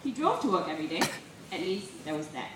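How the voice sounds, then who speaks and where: A woman gives an expressive, deliberate live reading of literary prose and poetry. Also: fairly low pitch. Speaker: reader at a literary festival. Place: live event room, recorded from a distance. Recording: roomy echo, distant mic, clearly noisy.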